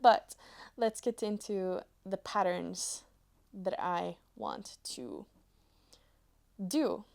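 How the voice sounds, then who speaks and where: Slow-paced young Norwegian woman. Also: mid-range voice, gravelly, a little mellow. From Norway. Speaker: knitting vlogger. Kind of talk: casual chat to camera.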